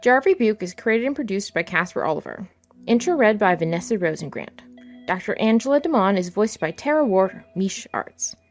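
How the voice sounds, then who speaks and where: A woman's voice: medium pitch, animated, brisk, soft background music. A woman giving a scripted read of podcast credits as an announcer. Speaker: credits reader.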